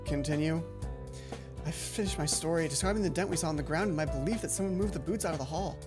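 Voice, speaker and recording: A woman with a fairly low voice hosts a let's play, reading a visual novel aloud over clear background music. She is expressive and informal, tripping over words and swearing as she comments. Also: rapid-fire. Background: game music.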